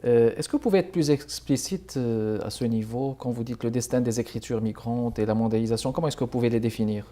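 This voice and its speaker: A man's voice: medium pitch, fast talker, few pauses, dark tone. A man hosting a television literary interview, asking questions with many hesitations. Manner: hesitant, many fillers, reads passages aloud. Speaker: host.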